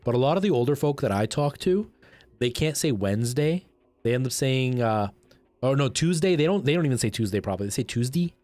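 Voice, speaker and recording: A young man from Canada chatting casually while live streaming a game, expressive and prone to repeating himself for emphasis. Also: mid-range voice, a little fast, dry recording. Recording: music playing behind the voice.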